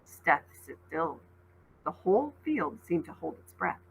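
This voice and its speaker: A woman's voice: sits low, big pitch swings, unhurried, vocal fry, husky, rough recording. A woman teacher reading a storybook aloud expressively and dramatically, with asides to her listeners.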